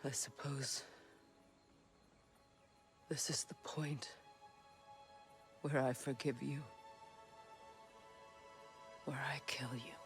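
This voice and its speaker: An actress playing a proud, grieving Norse goddess in a video game cutscene, with an intense, reproachful delivery. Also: female voice, a bass voice, fairly even intonation, drawn-out words, pauses often, exaggerated voice. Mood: weary, sorrowful. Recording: music under the voice.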